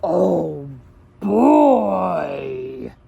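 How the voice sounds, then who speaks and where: A woman voicing a big male dinosaur from a storybook, loud, booming and theatrical, recorded outdoors. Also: big pitch swings, very slow, vocal fry, breathy edge, lo-fi sound, exaggerated voice. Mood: loud, hammy. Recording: homemade outdoor recording with background noise.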